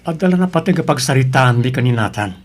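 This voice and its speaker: A man's voice: medium pitch, animated, fast, close to an everyday voice. A man acting a torn, evasive young father with a hesitant, defensive manner in an Ilocano family drama. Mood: defensive, troubled. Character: torn, evasive young father named Rigor, yearning for his child.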